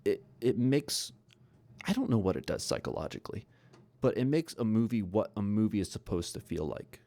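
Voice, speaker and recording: A man's voice: on the low side, very animated, moderate pace, mellow, dry recording. A man hosting a podcast, giving his opinions in informal, conversational talk and thinking aloud as he goes. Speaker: podcast host.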